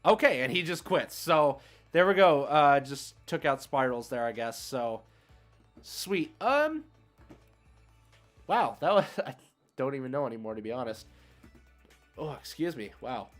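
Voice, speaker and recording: A man's voice: mid-range voice, expressive, unhurried, long pauses, fairly bright tone, faint music behind. A man hosting a livestream and giving casual, slangy commentary on a card game as he thinks aloud.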